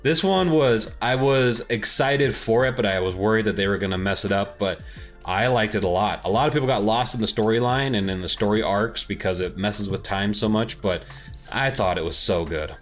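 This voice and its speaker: A man's voice: fairly low pitch, moderate pace, faint music behind. A man co-hosting a podcast, chatting casually about films and games in an informal, slangy way. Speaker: podcast co-host.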